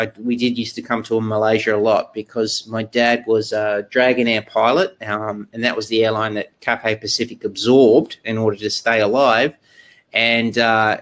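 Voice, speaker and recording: Man, thirties, webinar presenter on a webcam, halting delivery full of ums and restarts. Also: lowish voice. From Australia. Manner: halting, many fillers and restarts. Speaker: exchange research presenter. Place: indoor room, video call. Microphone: webcam video call.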